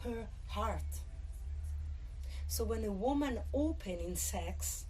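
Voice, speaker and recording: A woman's voice: medium pitch, lively intonation, dark tone, rough recording. An Italian woman with an Italian accent gives an informal, irreverent and humorous live talk, sometimes tripping over her English words. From Italy. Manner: halting English with occasional word slips. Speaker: workshop speaker on tantra. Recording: live festival talk with background noise.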